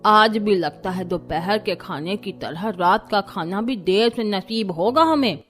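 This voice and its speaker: A woman's voice: medium pitch, a bit bright, lightly acted. A woman playing a demanding, complaining elderly grandmother-in-law in a cartoon family drama, with a grumbling manner. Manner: slow, grumbling.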